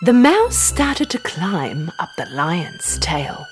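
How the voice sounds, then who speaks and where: A woman reading a scripted children's bedtime story as an expressive narrator. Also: fairly low pitch, slow, over music.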